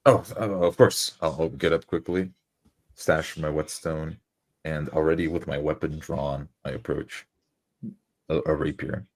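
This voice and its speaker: A man playing a tabletop roleplay game, narrating his character's actions in a halting, deliberate way. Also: extremely low pitch, fairly even intonation.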